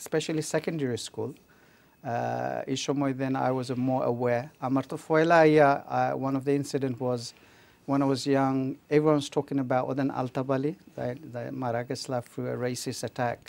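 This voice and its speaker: Man, 50s, from Bangladesh, hesitant guest, quick, dry close sound. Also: medium pitch, steady tone, creaky, darkish tone, dry recording. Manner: hesitant, many fillers, switches between English and Bengali. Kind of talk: casual interview chat.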